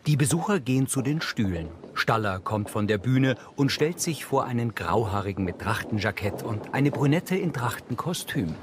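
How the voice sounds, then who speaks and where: A man's voice: mid-range voice, expressive, normal speed, hardly any pauses, background noise. A man reading scripted scene narration as an audio describer.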